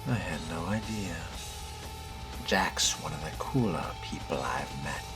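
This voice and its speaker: A man voicing a guarded fledgling vampire in a video game role-play, with dry, deliberate, sardonic delivery. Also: a bass voice, lively intonation, music in the background. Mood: measured.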